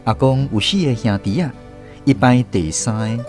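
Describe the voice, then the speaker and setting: A man's voice: medium pitch, normal speed, a little mellow. A man with a Taiwanese accent narrating a radio drama story. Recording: music under the voice. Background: instrumental music.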